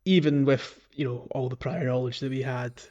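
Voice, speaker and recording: A man's voice: medium pitch, moderate pace, a little mellow, muffled recording. A Scottish man chatting informally and enthusiastically as a podcast host. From Scotland. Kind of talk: casual podcast chat.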